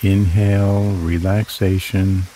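A man guiding a relaxation meditation in slow, soothing, drawn-out phrases. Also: deep voice, little pitch movement, mellow, slight background noise, low-quality recording. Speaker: meditation guide. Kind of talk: guided meditation narration.

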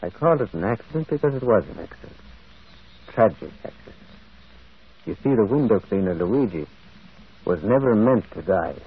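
Husky, musing old-radio priest detective. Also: male voice, lowish voice, animated, normal speed, pauses often. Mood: pensive. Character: gentle, shrewd, pensive priest detective. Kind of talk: old radio drama acting. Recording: muffled, tinny vintage radio broadcast.

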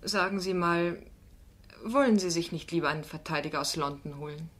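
An actress playing a stubborn young defence barrister, insistent and businesslike, in a radio drama. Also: female voice, lowish voice, fairly quick, a bit bright.

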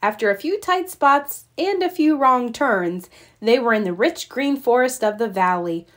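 A woman reading a children's storybook aloud as narrator, expressive and deliberate. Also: on the high side.

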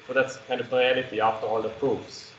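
A man's voice: medium pitch, little pitch movement, quick pace, a bit bright. A man with a mid-range voice asks a precise technical question from the audience. The room is noticeably roomy and the sound is dull, with faint noise behind him. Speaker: audience member asking a question.

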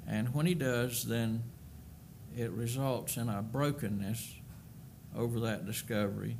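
Earnest older preacher at a pulpit. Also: male voice, mid-range voice, measured pace, vocal fry, noisy background. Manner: earnest, emphatic, repeats words while building a point. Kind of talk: sermon. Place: church sanctuary at a wooden pulpit. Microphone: pulpit microphone.

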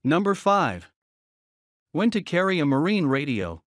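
A man's voice: a little high, sing-song, measured pace, no room sound, clean studio sound. A man reading a scripted voice-over, working through a list of numbered points. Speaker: narrator.

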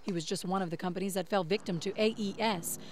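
Young female news host and voice-over, mid-pitched, scripted and presentational. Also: fairly even intonation, fast talker, darkish tone. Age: young adult. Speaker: TV reporter and host. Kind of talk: polished scripted broadcast narration.

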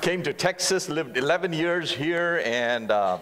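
A middle-aged man from India with an Indian accent, preaching as a guest missionary speaker in a church, fast-talking and earnest with touches of humor. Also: a little high, lively intonation, a bit bright, a little hiss or hum. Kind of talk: sermon. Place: church stage, large sanctuary. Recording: long reverb of a church hall.

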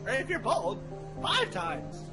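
A man's voice: very high-pitched, wildly swooping pitch, slow, over music, exaggerated voice. A man reading game dialogue aloud as an old male guide who lectures on dungeon rules, theatrical and hammy. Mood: lecturing. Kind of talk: voicing game dialogue over a playthrough.

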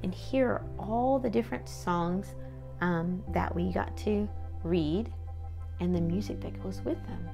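A woman's voice: lowish voice, measured pace, gravelly, warm tone, soft background music. A woman reading a children's picture book aloud expressively, with sing-song rhymes and informal asides. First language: English. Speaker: read-aloud host.

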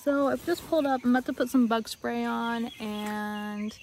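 A young adult woman talking casually and excitedly to camera as a vlogger, in informal, chatty speech. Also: fairly high pitch, moderate pace, a little hiss or hum.

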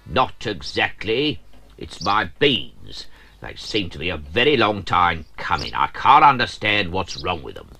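Man voicing a grumpy crane, gruff, gravelly, low, stubborn, storybook. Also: very animated, a little slow, pushed and bright, heavily acted. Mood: puzzled.

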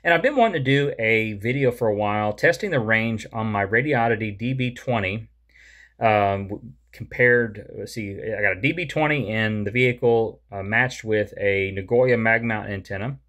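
Man, 30s, lowish voice, rambling hobbyist vlogger, filler-heavy, close camera in a home room. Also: normal speed, a bit bright. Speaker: holster maker and radio hobbyist. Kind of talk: casual talking-head explanation. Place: small room at home with a wall map. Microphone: camera close at face level.

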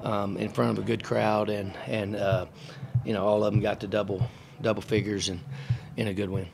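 A middle-aged man, a basketball coach, answering questions at a postgame press conference in a halting, matter-of-fact way, with many fillers and restarts, into a table microphone. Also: lowish voice, little pitch movement, gravelly, noisy background. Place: press room in front of a sponsor backdrop. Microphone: table mic on a stand.

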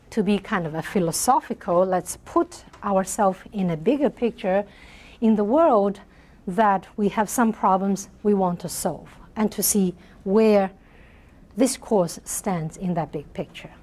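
A soft-spoken middle-aged woman lecturing on math in a large hall, often checking in with her class. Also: medium pitch, a little slow. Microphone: lapel mic.

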